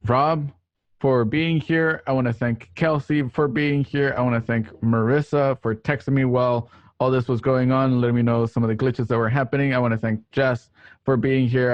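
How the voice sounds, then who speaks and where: A man hosting and narrating an online radio play, with a somewhat halting delivery. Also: medium pitch, dry recording, highs cut off. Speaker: host and scene narrator. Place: home, remote online recording.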